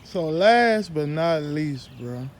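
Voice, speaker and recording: A man's voice: a little high, animated, takes it slowly, slight background noise. A man doing a casual, slangy interview as the interviewer. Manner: slangy, informal.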